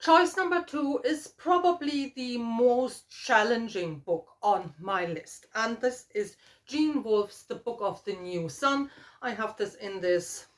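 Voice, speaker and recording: A middle-aged woman talking casually to viewers as a book vlogger, in a halting, thoughtful way with trailing asides. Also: fairly high pitch. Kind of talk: casual book chat to camera.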